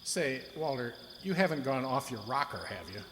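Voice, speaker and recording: A man's voice: mid-range voice, expressive, normal speed, lightly acted. A man acting in an amateur radio drama as a nosy, incredulous friend with a hesitant, disbelieving manner. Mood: skeptical. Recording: echoey hall, faint background noise.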